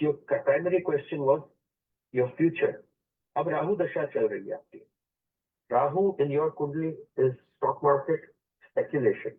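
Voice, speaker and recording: A man's voice: fairly high pitch, breathy edge. Man on tinny radio, mixing Hindi. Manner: mixes Hindi phrases into English, explains charts patiently. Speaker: radio astrology show host. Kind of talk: call-in radio consultation. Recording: tinny phone-line sound.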